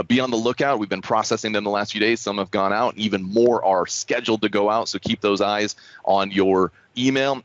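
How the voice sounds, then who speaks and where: A man's voice: medium pitch, a bit bright. A man hosting an online developer community call, presenting in an upbeat, encouraging and enthusiastic way. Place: indoors, online video call. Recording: muffled, roomy online call audio.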